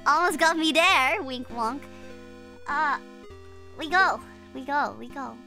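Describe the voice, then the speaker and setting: A woman's voice: sits high, expressive, takes it slowly, gravelly, sharp tone. A young woman with a non-native English accent streaming a horror game, chatting with her viewers and shrieking and giggling when startled. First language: not English. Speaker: virtual streamer. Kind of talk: live gameplay commentary reading chat. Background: game music.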